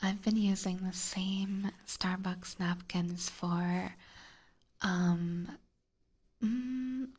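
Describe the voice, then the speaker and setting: A woman with a Californian accent chats in a soft, breathy whisper. Her delivery is unhurried and playfully teasing, in the casual style of an ASMR streamer. She is very close to a binaural microphone. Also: medium pitch, fairly even intonation. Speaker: ASMR streamer playing a vampire catgirl. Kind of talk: ASMR, casual chat with live viewers. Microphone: close binaural ear microphone.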